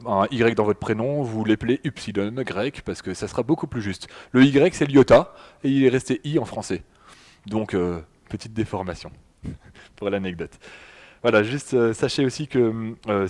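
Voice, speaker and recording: A man's voice: fairly low pitch, a little mellow. Chatty man giving a public lecture. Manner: chatty, addresses the audience directly. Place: public hall.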